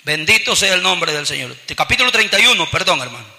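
A Salvadoran-accented man preaches with fervor, turning again and again to his listeners as brothers. His voice sits very high and cuts through with a sharp brightness. A long reverb from a big hall trails behind every phrase. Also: big pitch swings, quick pace. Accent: Salvadoran Spanish. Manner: fervent preaching, keeps addressing the congregation as brothers. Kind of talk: sermon.

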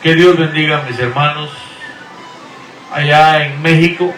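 A middle-aged man, a pastor, preaching and sending drawn-out, earnest blessings and greetings over the radio. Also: fairly high pitch, fairly bright tone, soft background music. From Guatemala. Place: Houston, Texas. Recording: echoey room, voice from far off the mic.

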